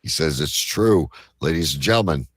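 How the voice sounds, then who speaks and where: A man's voice: a bass voice, expressive, moderate pace, heavy background noise. A man hosting a live quiz show, announcing to the audience like a showman. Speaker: game show host.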